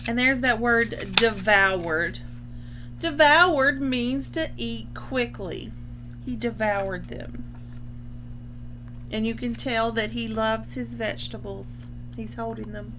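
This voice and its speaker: A woman's voice: fairly high pitch, noisy background. A woman speaking as a school librarian in an instructional read-aloud for young children, explaining things as she goes. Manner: explanatory, pausing to define words. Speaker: school librarian reading a picture book aloud.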